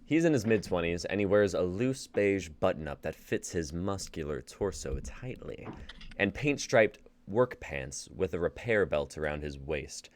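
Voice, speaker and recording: A man's voice: deep voice, lively intonation, measured pace, a little hiss or hum. A young man in his twenties hosting a live script reading, laughing easily and reading the stage directions. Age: 20s. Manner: laid-back, laughing, uses y'all. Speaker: host and playwright.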